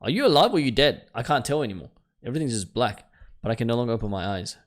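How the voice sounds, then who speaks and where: A man hosting a let's play, reading game text aloud and reacting in a casual, expressive way. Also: medium pitch, rapid-fire. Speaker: gaming video host. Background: game music.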